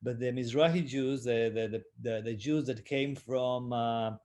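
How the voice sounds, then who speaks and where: Guy on a video call, slow and hesitant, lots of ums and uhs. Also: medium pitch, steady tone, a little mellow. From Israel. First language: Hebrew. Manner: hesitant, many fillers, self-deprecating laughs. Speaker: panelist. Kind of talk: roundtable discussion.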